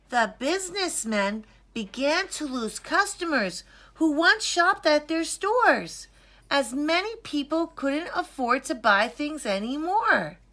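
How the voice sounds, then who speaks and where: A woman reading a children's story aloud in an expressive, deliberate storytelling manner. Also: high-pitched. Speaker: storybook reader. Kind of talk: scripted children's story narration, read aloud.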